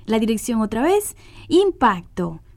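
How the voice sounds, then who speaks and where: A woman's voice: on the high side, big pitch swings, measured pace. A woman reading a scripted radio announcement in a courteous, formal manner.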